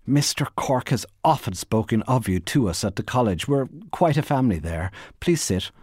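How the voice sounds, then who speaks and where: A man voicing a polite, flustered young woman who chatters and tries to stay calm, in an audiobook story. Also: medium pitch, moderate pace, breathy edge, no room sound, studio quality, pitched above the performer's own voice. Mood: polite, nervous chatter. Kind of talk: literary audiobook character voice.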